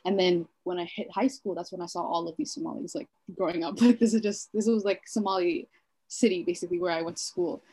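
A woman's voice: on the low side, steady tone, fast, mellow, slightly rough, rough recording. A woman in her twenties from Kenya, co-hosting a podcast in casual chat, talking freely with many fillers and frequent laughs. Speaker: podcast co-host.

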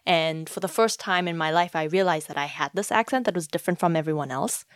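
A woman's voice: fairly low pitch, fast talker, no echo, studio quality. A woman from South Africa with a mostly American accent, hosting a casual interview and talking reflectively. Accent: American with slight South African traces. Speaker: podcast host.

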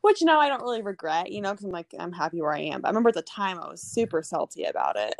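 A woman's voice: lowish voice, very animated, fast talker, creaky, no echo, studio quality. A young woman who is a podcast guest, telling her story in a chatty way with many fillers. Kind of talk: casual chat.

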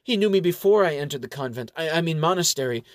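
A man's voice: a little high, animated, normal speed, fairly bright tone, no room sound, studio quality, pitched above the performer's own voice. A man voicing a flustered, brazen young woman adventurer who is bluffing her way as a nun in an audio story.

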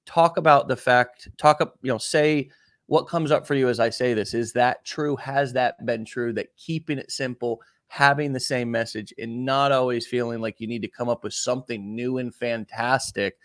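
A man hosting a coaching conversation, leaning into long, winding questions and warm encouragement. His voice sits at an ordinary pitch for a man. The sound is close and dry, with no room around it. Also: fairly even intonation, moderate pace, no echo. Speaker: host. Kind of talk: coaching interview.

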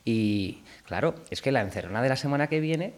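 A man's voice: medium pitch, lively intonation, a little fast. A man hosting a talk show, chatting casually and teasing his guests as he wraps up. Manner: jovial, teasing, thanks guests warmly. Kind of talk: casual chat, wrapping up the show.

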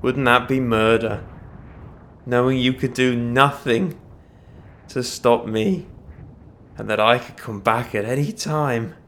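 A man voicing a cruel, mocking dark elf villain in a fantasy audio story, with a drawn-out, taunting delivery. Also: mid-range voice. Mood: smug taunting.

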